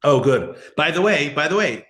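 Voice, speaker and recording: A man's voice: a little high, big pitch swings, moderate pace, a bit bright. A man, a rabbi teaching a live Torah class to an audience, talking casually, often chuckling and restarting as he fields questions. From born in Israel, raised elsewhere.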